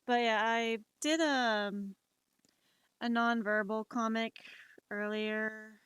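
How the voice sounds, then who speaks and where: A young adult woman chatting casually while she draws on a live stream, unhurried and rambling, with many fillers and restarts. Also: fairly high pitch, steady tone, a bit bright, smooth, no room sound. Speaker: livestreamer.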